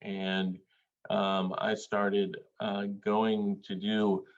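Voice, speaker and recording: A man from Bangladesh presents to a panel over a video call. His voice sits low, and he speaks with many pauses and filler sounds. The audio is dull and lo-fi. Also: level tone. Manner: hesitant, many filler sounds, measured delivery. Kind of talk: academic presentation.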